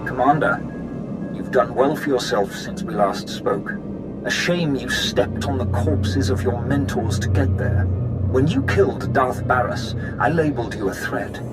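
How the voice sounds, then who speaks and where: An actor playing an imperious Sith warlord in a video game, speaking in a formal, cold and threatening way. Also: male voice, mid-range voice, unhurried, over loud music, lightly acted, low-quality recording. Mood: cold condemnation. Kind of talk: dramatic video game voice acting. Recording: loud score over the voice. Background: loud cinematic game score.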